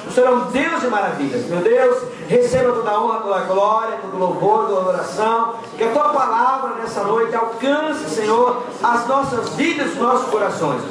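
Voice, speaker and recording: A man's voice: extremely high pitch, lively intonation, fairly quick, crowd noise. A man with a Brazilian accent leading a fervent church prayer, piling up repeated lines of praise. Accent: Brazilian Portuguese. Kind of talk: congregational prayer. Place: church hall. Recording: echoey church from far off.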